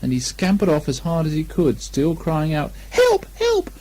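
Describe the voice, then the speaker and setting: A man reading a children's story aloud as an expressive narrator. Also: fairly high pitch, normal speed. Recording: lo-fi 1980 amateur recording.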